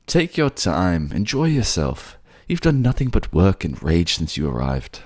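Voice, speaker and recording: A man voicing a doting merman king in a romantic audio roleplay, courtly, gently teasing and indulgent. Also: deep voice, huge pitch swings, a little slow, no room sound, studio quality, pitched above the performer's own voice, full character voice. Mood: gentle, inviting.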